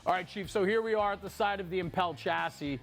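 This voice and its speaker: A man's voice: sits high, quick pace, a bit bright, soft background music. A man hosting a walkaround interview, speaking enthusiastically and affirming points as he recaps before asking. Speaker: interviewer and host. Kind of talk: on-site walkaround interview about a fire truck.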